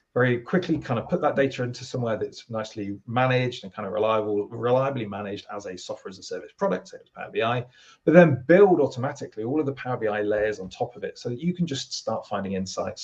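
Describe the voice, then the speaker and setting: A man with a British accent, a guest from a product team, explaining at length in a relaxed, rambling way with lots of fillers. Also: medium pitch, lo-fi sound. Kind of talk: casual interview talk.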